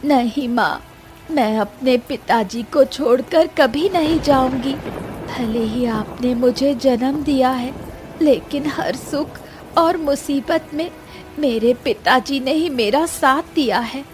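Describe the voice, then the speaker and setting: A woman's voice: high voice, slow pace, over music, voice pushed up. Woman voicing an earnest, emotional young village girl. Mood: firm, emotional. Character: devoted, once-blind young village girl, loving daughter.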